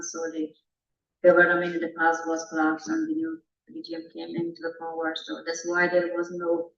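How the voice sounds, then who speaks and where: A young woman from Afghanistan, a college student, speaks in a halting way full of fillers. She sits off mic in an echoey room. The sound is dull and a little muffled. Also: on the low side, recorded from a distance, a little hiss or hum. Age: young adult. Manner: hesitant, frequent fillers. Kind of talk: personal testimony.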